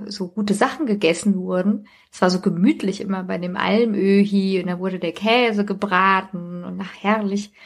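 Woman chatting on a podcast, average pitch, casual, telling little stories as she goes. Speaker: podcast co-host or guest.

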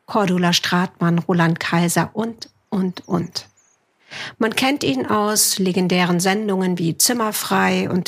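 A woman's voice: on the low side, slightly rough. A middle-aged woman from a German North Sea island, hosting a podcast with narration and conversational interviewing. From Juist, North Sea island in Germany. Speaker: podcast host.

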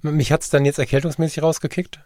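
A man's voice: fairly high pitch, flat delivery, quick pace, a little mellow, no room sound, clean studio sound. A man hosting a podcast, telling stories in a reflective, earnest way during a casual conversation. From Germany, Ruhr area region. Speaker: podcast host.

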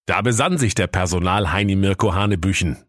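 A man's voice: deep voice, fairly quick, fairly bright tone, no echo, studio quality. A man narrating a comic fairy tale as storyteller in a mock-solemn manner.

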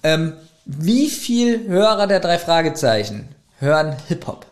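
A young man co-hosting a casual podcast, playful and eager as he tosses out ideas. His voice is slightly high for a man, and he laughs easily. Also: very animated, measured pace. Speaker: podcast co-host. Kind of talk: casual chat reviewing an audio drama.

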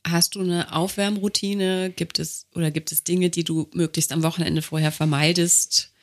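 A woman's voice: fairly low pitch, little pitch movement, normal speed. A woman co-hosting an interview, putting questions to a guest in a conversational way. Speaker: interviewer, podcast co-host.

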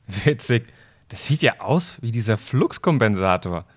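A man's voice: mid-range voice, expressive, measured pace. A man playing an easygoing, adventurous, rational time traveller in an amateur audio drama, casual and understated. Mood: intrigued.

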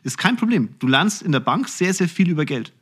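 A man's voice: fairly high pitch, big pitch swings, fairly quick, fairly bright tone, breathy edge. A man answering interview questions on a podcast in a blunt, direct and colloquial way. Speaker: podcast host being interviewed, finance executive.

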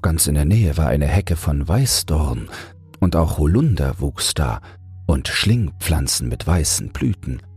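A male audiobook narrator tells a bedtime fairy tale in a soft, very breathy voice. He takes it slowly, with expressive, moving intonation. Faint music plays behind him. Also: a bass voice.

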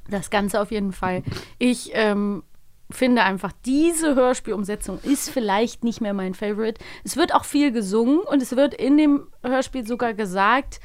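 A woman's voice: a little high, normal speed, no echo, studio quality. A woman chatting casually as a podcast co-host, talkative and given to laughing. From Cologne, Germany. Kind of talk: casual chat about children's audio plays.

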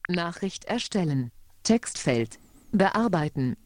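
A synthetic woman's voice of a smartphone screen reader, announcing short interface labels, played quietly from a device. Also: lowish voice, very animated, takes it slowly.

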